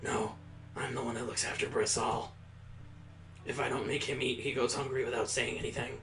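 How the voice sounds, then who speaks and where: A man voicing a small, stubborn little girl in a visual novel, with soft, simple, blunt childlike speech. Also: medium pitch, little pitch movement, moderate pace, echoey room, far from the mic, faint music behind, pitched below the performer's own voice, close to an everyday voice. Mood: matter-of-fact.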